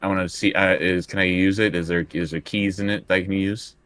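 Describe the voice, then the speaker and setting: A man playing a tabletop role-playing game, talking casually and haltingly as he works out his moves. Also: deep voice, fairly even intonation, a bit bright, dry recording. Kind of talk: casual improvised game play.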